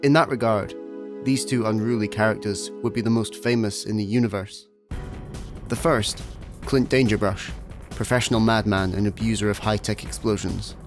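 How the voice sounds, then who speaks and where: Deep, deadpan Scottish actor as male narrator. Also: natural voice. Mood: deadpan, mock-grand. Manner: deliberate, deadpan, mock-grand. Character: wry, measured storyteller of a comic space adventure. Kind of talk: scripted narration, comedy radio play. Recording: music behind the voice.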